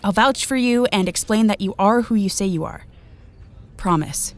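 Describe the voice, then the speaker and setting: A woman's voice: mid-range voice, normal speed, natural voice. An actress playing a weary, caring young woman trucker in an audiobook drama, speaking in a hesitant, reassuring way.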